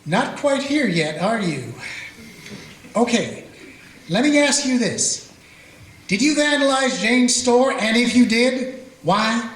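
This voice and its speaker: A man's voice: very high-pitched, lively intonation, slow pace, long pauses, fairly bright tone, exaggerated voice. A man playing a dry, folksy sheriff. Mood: patient. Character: weary small-town male sheriff, dry humor. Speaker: sheriff and narrator. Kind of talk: radio play narration and acting. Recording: echoey room with faint music.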